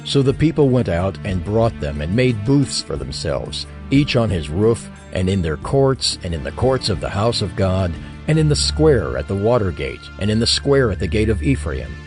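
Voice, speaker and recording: A man reading scripture as the narrator of an audio drama, in a measured, formal manner. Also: lowish voice, big pitch swings, a little mellow, soft background music.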